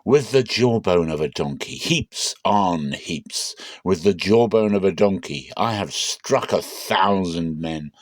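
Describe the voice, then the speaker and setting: A man playing a defiant, mighty warrior in a scripture reading, forceful and declamatory. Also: low-pitched, animated, slow, hardly any pauses, dry recording, studio quality, exaggerated voice. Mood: proud, declaiming. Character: defiant, mighty warrior strongman of ancient Israel.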